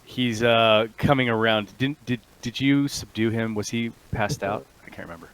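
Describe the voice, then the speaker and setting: A man's voice: mid-range voice, moderate pace, fairly bright tone, clear, resonant tone. A man acting as game master, casually narrating a tabletop roleplaying game and answering a player.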